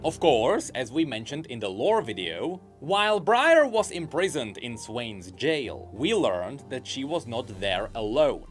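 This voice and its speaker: A man giving scripted commentary on game lore, animated and explanatory. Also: medium pitch, unhurried, hardly any pauses, soft background music. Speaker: video essayist on game lore.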